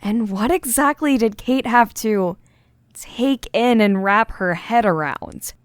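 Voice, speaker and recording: Dry studio sound, actress as uneasy suspicious woman, slightly high voice, inner monologue, audio drama. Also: takes it slowly, smooth, dry recording. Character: uneasy, suspicious woman thinking through a frightening mystery.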